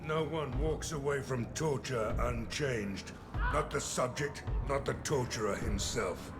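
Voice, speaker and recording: Man as a grave, slow-spoken world-weary fighter. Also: medium pitch, music in the background, lightly acted. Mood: sombre. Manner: slow, deliberate, sombre. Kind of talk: video game voice acting.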